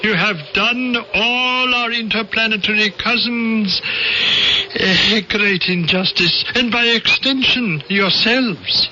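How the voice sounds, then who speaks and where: An actor playing a courteous, superior alien visitor in an old-time radio drama, speaking formally with precise, measured diction. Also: male voice, high voice. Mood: politely reproachful. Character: calm one-eyed, mouthless alien visitor, courteous and superior. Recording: dull, noisy old radio recording.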